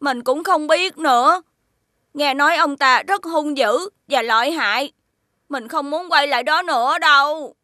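An actress voicing a small, loyal magical friend in a children's cartoon, childlike and earnest. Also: female voice, high voice, a little slow, pushed and bright, dry recording, studio quality. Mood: nervous.

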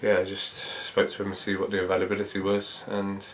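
A man in his thirties speaks as a football manager after a match, reflective and measured with frequent fillers. His voice sits very low and stays flat in pitch. Faint room ambience hangs behind him in a small indoor press room. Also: slight background noise. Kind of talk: post-match interview.

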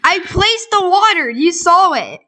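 A young woman playing a block-building game with a friend, chatting casually, excitable and insistent, dropping to murmurs while she plays. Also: very high-pitched, measured pace. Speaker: gamer. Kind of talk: casual let's play chat.

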